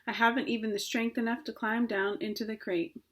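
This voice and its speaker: A woman voicing a wise female spider who speaks in a calm, measured and quietly firm way. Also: fairly high pitch, lightly acted.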